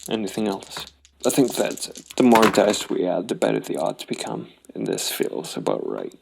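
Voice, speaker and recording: A man's voice: low voice, wildly swooping pitch, normal speed, vocal fry, background noise. A man narrating a solo tabletop role-playing game, thinking aloud and reasoning things out. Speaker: solo RPG player and host.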